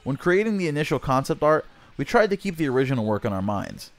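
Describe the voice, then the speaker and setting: A man's voice: a little high, very animated, fast. A man reading scripted video game news as a channel host, presenting a written interview.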